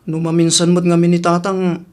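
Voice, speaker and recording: A man's voice: high voice, monotone, fairly quick, darkish tone, natural voice. A man playing a grown son in a radio drama, talking in a casual, persistent way. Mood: resentful. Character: reassuring but distrustful grown son.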